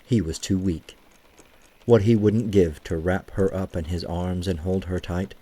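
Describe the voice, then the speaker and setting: A man narrating a scripted story for an audio drama, with a husky voice and a measured, gentle storytelling manner. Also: sits low, fairly even intonation.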